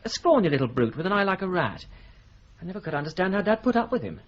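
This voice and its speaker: An actor playing a breezy, somewhat careless young partner of a law firm in a radio play, with a husky voice. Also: male voice, high voice, big pitch swings, fast talker. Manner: breezy, offhand, slightly flustered. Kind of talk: radio drama acting. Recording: dull lo-fi radio recording.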